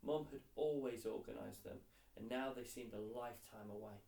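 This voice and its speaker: A man's voice: medium pitch, normal speed, creaky, warm tone. A man narrating a children's novel aloud as a scripted storybook reading. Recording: roomy echo, distant mic.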